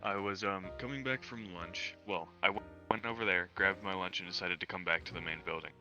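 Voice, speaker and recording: A man's voice: sits low, steady tone, fairly quick, bright, forceful tone, highs cut off, soft background music. A young man casually telling an anecdote to friends, crude and full of swearing. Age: young adult. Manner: crude, profane.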